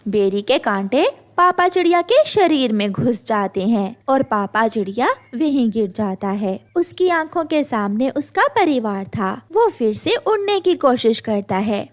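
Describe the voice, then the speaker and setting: A woman narrating a scripted moral story for children. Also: on the high side.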